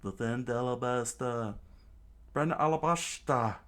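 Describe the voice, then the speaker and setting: A scheming middle-aged villain, played by a man who savors every word of his plan. His low voice stays calm and drawling, with a cold, gloating edge. It sounds like a storybook retelling of a cartoon. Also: moderate pace.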